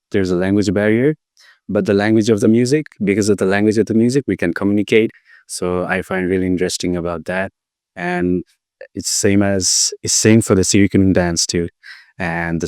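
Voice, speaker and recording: Easygoing man, smooth low voice. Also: brisk, darkish tone, no room sound, clean studio sound. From Nepal. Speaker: music producer, interview guest. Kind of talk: casual interview answers.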